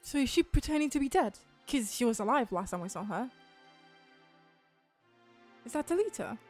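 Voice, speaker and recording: High-voiced woman streamer reacting casually. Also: big pitch swings, normal speed, a little mellow. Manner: informal, reactive, questioning. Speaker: live streamer playing a game. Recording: dry, game music faintly behind. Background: faint game music.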